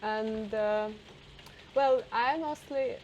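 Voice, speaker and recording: Slow, hesitant woman, Russian and Armenian native. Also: fairly high pitch, a little mellow, lo-fi sound, a little hiss or hum. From Siberia, Russia. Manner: hesitant, full of fillers and restarts. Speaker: co-host. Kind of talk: casual conversation.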